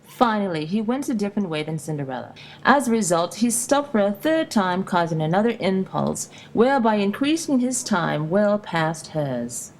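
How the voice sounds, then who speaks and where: A woman's voice: mid-range voice, big pitch swings, moderate pace, few pauses, darkish tone, slight background noise, low-quality recording. A woman reading scripted storytelling narration for an educational video, explaining in a storybook-like way. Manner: storybook-like, explanatory delivery with rhetorical questions. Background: music.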